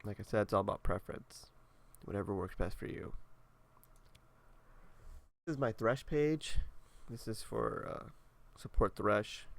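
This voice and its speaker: Congested young man with a gravelly voice. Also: fairly low pitch, animated, a little slow. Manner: laid-back, rambling, many fillers. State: congested, nasal. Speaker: gaming video creator. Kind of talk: casual walkthrough commentary.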